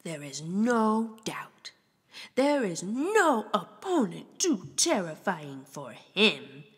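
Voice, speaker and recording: Casting: female children's storyteller, theatrical and animated, breathy edge, unhurried delivery, reverberant space. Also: medium pitch. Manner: theatrical, with whispers and exclamations. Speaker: author and storyteller reading aloud. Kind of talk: animated children's picture-book read-aloud.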